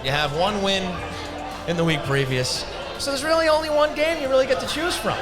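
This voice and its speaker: A man's voice: sits high, brisk, crowd noise. A man hosting a live sports show, addressing the audience like an announcer as he reads sponsor plugs and segments. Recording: loud music behind the voice. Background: loud music.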